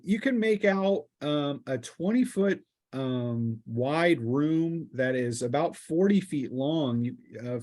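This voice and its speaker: Man running a tabletop game, halting delivery. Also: mid-range voice, darkish tone. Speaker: game master. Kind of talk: narrating a tabletop role-playing game, improvised.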